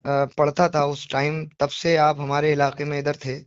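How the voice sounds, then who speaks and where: A man with a somewhat high voice talks over a dull, lo-fi phone recording. He is apologetic and insistent, justifying himself in earnest conversation, often repeating his point and asking questions he expects agreement to. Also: level tone, moderate pace, clean tone, no room sound. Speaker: devotional poetry reciter on a phone call. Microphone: phone call.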